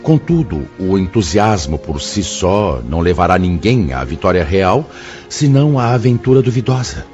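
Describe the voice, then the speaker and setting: A Brazilian actor playing a solemn, kindly spirit mentor in a radio drama, speaking in a measured, formal, sermon-like way. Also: male voice, low-pitched, sing-song, darkish tone. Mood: solemn, exhorting. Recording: dull lo-fi audio with background music.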